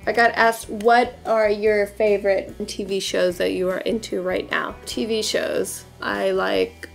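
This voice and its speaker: Creaky-voiced woman, chatting casually. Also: mid-range voice, level tone, a little slow, faint music behind. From born in Portugal, raised in California from about age four. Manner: chatty, informal, peppers talk with "like" and "literally". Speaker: hairdresser and beauty vlogger answering questions.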